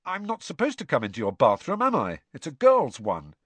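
A man's voice: fairly high pitch, wildly swooping pitch, a little fast, no room sound, voice pushed up. A man voicing a flustered, indignant teenage schoolboy in a storybook reading.

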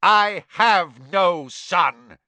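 A man voicing a stern, hateful older judge in a storybook, loud and formal, declaiming in a bellow. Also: mid-range voice, big pitch swings, measured pace, no echo, pitched above the performer's own voice. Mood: furious.

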